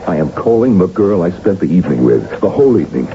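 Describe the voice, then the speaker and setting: Radio drama: exasperated doctor, emphatic and persuasive, deep-set mellow tone, muffled recording with clear hiss and rumble. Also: male voice, very animated, fast. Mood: brisk, defensive. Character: exasperated, earnest male doctor and loyal old friend. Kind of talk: 1980s radio drama acting. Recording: dull, rumbling, noisy old radio recording.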